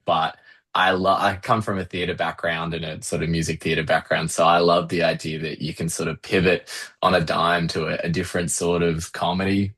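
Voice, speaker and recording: Low-voiced Australian man, wry comedian. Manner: wry, self-deprecating, animated storyteller. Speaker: interview guest, comedian. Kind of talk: casual interview chat.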